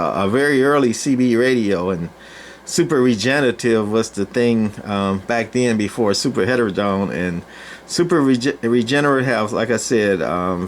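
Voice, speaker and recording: An older man with an average-pitched voice talks through a hands-on demo, casual and unscripted. He rambles in a folksy, halting way and leaves long pauses. Clear background noise sits behind him. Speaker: presenter, CB radio collector.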